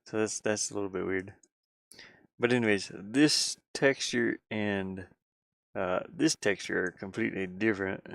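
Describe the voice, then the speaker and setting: A man chatting casually like a streamer, thinking aloud in a halting, rambling way. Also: lowish voice, steady tone, creaky, a bit bright, no room sound, clean studio sound. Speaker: streamer and hobby game designer. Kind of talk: casual rambling livestream chat.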